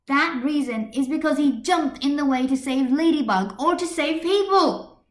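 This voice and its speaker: A woman voicing a fiery, stubborn schoolgirl in a fan fiction story, forceful and indignant as she argues. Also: sits high, normal speed, pitched above the performer's own voice, close to an everyday voice. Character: fiery, stubborn schoolgirl passionately defending her hero. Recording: echoey room on computer microphone.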